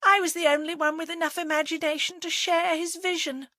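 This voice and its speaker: A woman's voice: sits high, big pitch swings, normal speed, a bit bright, no room sound, studio quality, voice pushed up, exaggerated voice. A woman voicing a fragile, nervous, wistful village wife in an audiobook, in an emotional, fluttery manner. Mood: tearful, wistful. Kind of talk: audiobook character acting.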